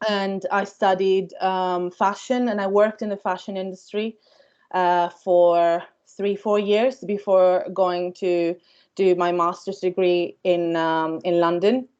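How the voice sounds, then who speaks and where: A woman's voice: lowish voice, level tone, slow, darkish tone, smooth, dull audio. A woman in her thirties from Italy with an Italian accent, a company founder giving a careers talk to students, thoughtful with many ums and uhs.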